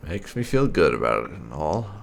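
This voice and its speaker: Deep, gravelly man commentating on a game. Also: sing-song, moderate pace, slight background noise. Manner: musing, thinking aloud. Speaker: gaming channel host. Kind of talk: casual video game playthrough commentary with light roleplay. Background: game music.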